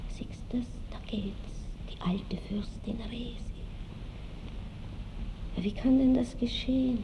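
A woman's voice: a little high, lo-fi sound. An actress playing a melancholy, tender aristocratic woman musing on time in an Austrian accent, wistful and lilting, in a classic radio drama. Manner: wistful, musing, lilting old-fashioned speech. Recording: faint hiss of old radio recording.

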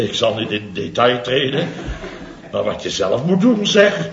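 A man's voice: medium pitch, big pitch swings, slow pace, gravelly, background noise, full character voice. An actor playing a pompous, self-important middle-aged construction company boss who chuckles through his own boastful stories, in 1960s radio comedy style. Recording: dull roomy old radio recording.

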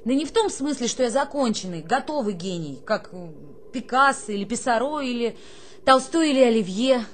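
A woman's voice: on the high side, expressive, normal speed, slight background noise, natural voice. An actress playing an affected young soldier who poses as a refined girl, coquettish and haughtily polite, in a theatrical radio play. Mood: grand, self-dramatizing.

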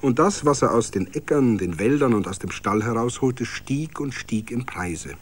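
A male narrator tells a literary story for radio. His voice is mid-pitched with expressive rises and falls, and he phrases it in a measured storyteller's way. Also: husky. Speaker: narrator and reader of all parts.

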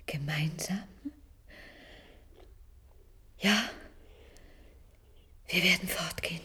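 Whispery low female voice, fragile fearful patient, very slow, distant from mic, radio play. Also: bright, forceful tone. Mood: fearful, trusting. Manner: halting, whispery. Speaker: actor. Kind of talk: German radio drama acting.

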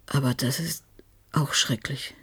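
An actress playing a serious, troubled and sceptical woman expert in a German radio play, husky and guarded, with a questioning manner. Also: rumbling low, fairly even intonation, measured pace, fairly bright tone, heavily acted. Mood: troubled, doubting. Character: serious, sceptical adult female technology expert, troubled by conspiracy.

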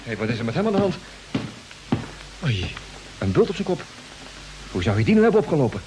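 A man's voice: medium pitch, very animated, brisk, lightly acted. An actor playing a naive, chatty space crewman in a Dutch radio drama, hesitant and full of wonder. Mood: curious, surprised. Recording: noisy old radio drama recording.

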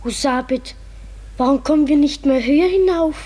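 A woman's voice: high voice, normal speed, dark tone, lightly acted. A woman playing a frightened, searching creature of flight in a radio play, speaking poetically and plaintively. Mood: fearful, plaintive.

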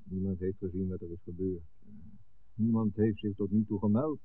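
A man's voice: deep voice, fairly even intonation, normal speed, slightly rough, dry recording. An actor playing a grave, earnest village man who speaks hesitantly and respectfully in a 1960s radio drama. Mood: grave, reluctant. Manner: hesitant, addresses the priest respectfully. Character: grave village man bearing bad news, later indignant. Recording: thin phone-like 1960s radio recording.

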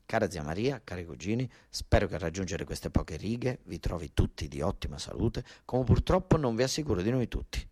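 A man's voice: sits low, sing-song, fairly quick, few pauses, mellow, breathy edge, no echo, studio quality. A man with a southern Italian accent from Basilicata, telling a first-person story as theatre in a colloquial, emphatic way, repeating phrases for effect. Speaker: monologue actor and narrator.

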